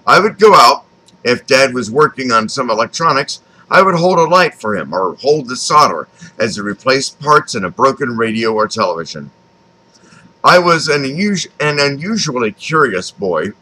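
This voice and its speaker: A man in his fifties from Texas with an American accent reads his own autobiography aloud, phrasing it deliberately with frequent pauses. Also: medium pitch, sing-song, bright, forceful tone, husky, low-quality recording. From Texas, United States. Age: 50s. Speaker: author reading his own memoir. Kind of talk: scripted autobiographical narration, read aloud.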